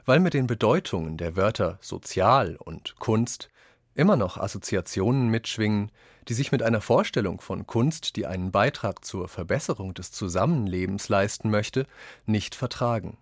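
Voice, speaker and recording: Guy w a lowish voice reading essay quotes, deliberate, dry booth sound. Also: animated, dry recording. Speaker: reader of quotations in a radio essay. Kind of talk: scripted literary reading.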